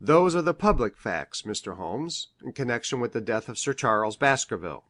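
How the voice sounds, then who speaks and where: Country doctor, male reader: earnest, formal, mid-pitched, measured storybook delivery. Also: expressive, fairly bright tone, lightly acted. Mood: grave, earnest. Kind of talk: measured dramatic audiobook reading of formal prose.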